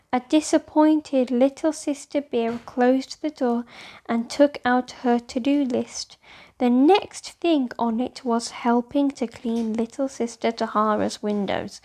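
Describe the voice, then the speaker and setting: A woman's voice: high-pitched, darkish tone. A woman reading scripted storybook narration for children.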